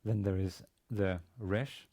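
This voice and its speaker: A man in his forties, a native Danish speaker with a Danish accent, giving an instructional lecture in a halting, hesitant manner with repeated words. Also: sits low, fairly even intonation, dark tone. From Denmark. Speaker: university language teacher. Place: indoor studio with plain grey backdrop.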